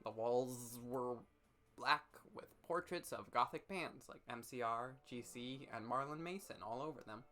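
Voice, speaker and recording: A man's voice: mid-range voice, unhurried, pushed and bright. A man doing a comedic dramatic reading with casual commentary, mock-serious and tripping over words. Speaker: streamer hosting a dramatic reading.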